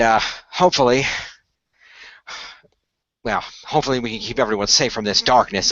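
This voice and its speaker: A man playing an earnest team leader who explains things in a measured way in a radio play, on dull audio. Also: medium pitch, bright, forceful tone, no room sound, natural voice. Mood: hopeful, earnest. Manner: measured, expository, sighing.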